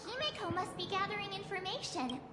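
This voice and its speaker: A woman playing a bright, friendly, earnest teenage girl adventurer in an anime-style video game. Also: very high voice, expressive, a little fast, exaggerated voice. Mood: earnest, chatty. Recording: echoey reverberant hall, game music.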